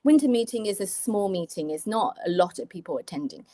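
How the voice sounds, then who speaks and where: A woman's voice: mid-range voice, lively intonation, fairly quick, warm tone, no echo, studio quality. A woman in her thirties from Taiwan, a native Mandarin speaker with a British English accent, talking as a guest in an interview with many restarts and fillers. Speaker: surgeon, guest. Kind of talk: interview conversation.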